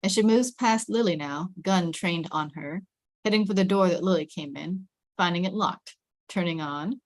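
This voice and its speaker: A woman with a slightly low voice reads screenplay directions aloud. It is scripted narration, describing action and camera moves as part of a table read. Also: moderate pace. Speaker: stage directions reader.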